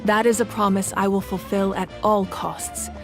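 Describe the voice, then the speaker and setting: A woman's voice: mid-range voice, steady tone, creaky, over music, close to an everyday voice. Composed, resolute warrior queen, acted by a woman. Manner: measured, formal, solemn. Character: composed, resolute warrior goddess ruling a nation. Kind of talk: video game voice acting.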